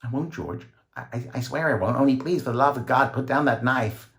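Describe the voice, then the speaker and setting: Man putting on a terrified, high-pitched pleading woman. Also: a little fast, a bit bright, lightly acted. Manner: pleading, stammering.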